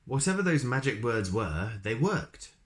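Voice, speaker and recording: A man's voice: medium pitch, big pitch swings, a little slow, a bit bright. A man reading a children's story aloud expressively, with chatty asides to his listeners.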